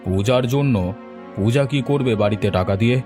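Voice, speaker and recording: A man's voice: medium pitch, a little fast, darkish tone, faint music behind, lightly acted. A man voicing a kindly, inquisitive village headman in a cartoon, questioning with a mildly teasing manner. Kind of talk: cartoon voice acting.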